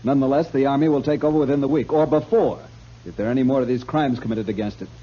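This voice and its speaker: An actor playing a stiff, haughty army major in a 1950s radio western, clipped and formal. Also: male voice, mid-range voice, fast talker, lightly acted. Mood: cold, threatening. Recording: muffled old radio recording.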